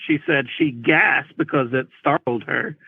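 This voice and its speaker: A man's voice: mid-range voice, measured pace, creaky, slightly rough, no room sound, narrow, tinny audio. A polite, plain-spoken man, a police officer, recounting events conversationally as an interview guest.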